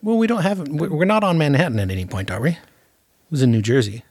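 A man's voice: a little high, lively intonation, fast, a little mellow, no room sound, clean studio sound. A man chatting casually about films in a wry, joking way. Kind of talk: casual film discussion.

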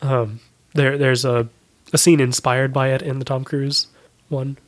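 A man's voice: medium pitch, level tone, unhurried. A man speaking as a guest in a casual discussion of films and books. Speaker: podcast guest.

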